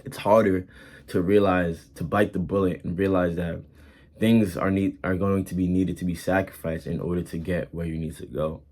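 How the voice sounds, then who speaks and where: Early 20s guy kinda low voice, talking earnest motivation stuff, loops back on himself a lot. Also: steady tone, normal speed, a little mellow. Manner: earnest, repeats himself, casual slang. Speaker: vlogger giving life advice. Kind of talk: casual motivational monologue.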